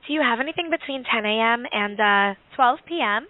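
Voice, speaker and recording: A woman's voice: on the high side, expressive, brisk, no echo. A female automated assistant booking an appointment in a polite phone conversation, with small hesitations. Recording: thin, dry phone line.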